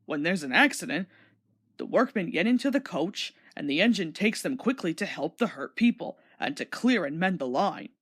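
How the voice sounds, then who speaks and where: A reassuring, matter-of-fact railwayman in a children's storybook, read by a woman. The talk is plain and explanatory, dipping below the storyteller's pitch, with expressive intonation. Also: normal speed, breathy edge.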